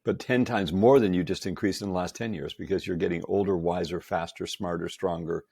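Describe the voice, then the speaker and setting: An upbeat man in his sixties holds forth as a podcast guest, his low voice rough with gravel and a husky grain. He hammers his points with repeated emphasis. The sound is close and dry. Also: steady tone, a little fast, darkish tone, no echo. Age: 60s. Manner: enthusiastic, emphatic, laughs often. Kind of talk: casual chat.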